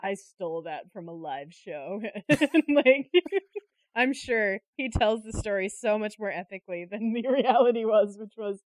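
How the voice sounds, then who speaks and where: A woman's voice: mid-range voice, lively intonation, unhurried, no echo. A woman chatting casually as an interview guest, telling anecdotes and often giggly as she talks. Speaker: interview guest, creative director and artist.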